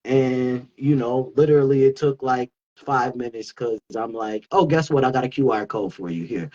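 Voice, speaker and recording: A man's voice: medium pitch, moderate pace, a little mellow, lo-fi sound. A man co-hosting a talk show, chatting casually and informally, in a conversational storytelling way. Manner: informal, conversational, quotes himself. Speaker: talk show co-host, youth worker. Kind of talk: casual anecdotal chat.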